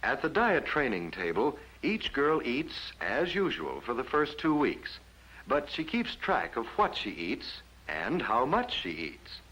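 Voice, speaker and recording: A man's voice: medium pitch, wildly swooping pitch, pushed and bright, slightly rough, rough recording. An American man narrating a 1950s instructional film from a script in a formal announcer manner, on an old film soundtrack. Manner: formal, measured announcer delivery.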